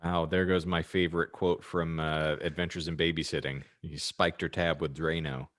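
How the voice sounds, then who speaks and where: A man's voice: very deep voice, little pitch movement, normal speed, fairly bright tone, dry recording, clean studio sound. A man hosting a casual comedy podcast, joking in wordy, mock-formal turns of phrase. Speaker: podcast host.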